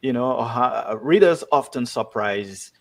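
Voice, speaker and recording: Slow, hesitant man interviewer. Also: mid-range voice, animated. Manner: hesitant, full of ums and restarts. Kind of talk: online interview. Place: home in Texas. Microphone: video call.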